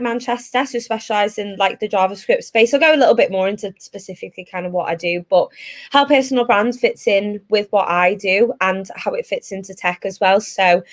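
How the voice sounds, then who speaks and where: A woman with a Liverpool accent, a tech recruiter speaking as a guest on a webinar in a chatty way, with lots of fillers, over a video call. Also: mid-range voice. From Liverpool, England. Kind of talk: casual webinar talk.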